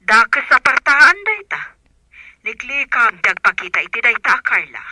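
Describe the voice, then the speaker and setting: Casting: cautioning auntie, very sharp bright voice, fairly high, radio drama. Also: female voice, very animated, normal speed, no echo, exaggerated voice. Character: concerned auntie, cautioning and advising her niece. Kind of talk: Filipino radio drama acting.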